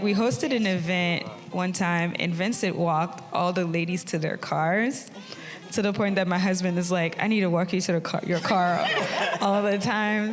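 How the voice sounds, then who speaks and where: Haiti-raised woman panelist, lower voice, earnest, reverberant theatre hall. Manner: earnest, friendly, with fillers and laughs. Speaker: tech community founder, panelist. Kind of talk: live panel talk. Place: theatre stage.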